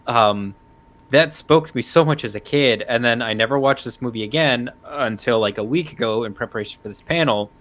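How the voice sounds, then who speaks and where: A man giving his opinion as a panelist in a casual discussion, pausing often mid-thought. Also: medium pitch, fairly bright tone.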